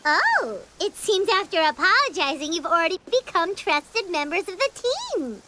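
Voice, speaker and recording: A woman voicing a tiny, childlike fairy companion, excitable and timid, with a squeaky voice in an anime-style video game. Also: moderate pace, background noise. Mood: surprised, teasing. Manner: refers to self in third person, expressive exclamations.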